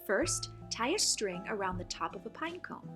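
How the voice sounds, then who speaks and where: Animated woman reading a children's picture book. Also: mid-range voice, normal speed, music in the background. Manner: animated, clearly phrased delivery for young children. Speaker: children's story reader and host. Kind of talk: expressive picture-book read-aloud.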